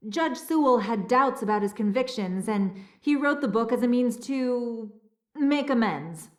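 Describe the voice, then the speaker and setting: A high female voice in a reverberant room, as a scholarly castle historian in an adventure game. She explains things precisely and earnestly, with a slightly flustered, nervous edge. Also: expressive, a little slow. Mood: informative. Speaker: historian and relic keeper. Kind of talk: video game dialogue, expository.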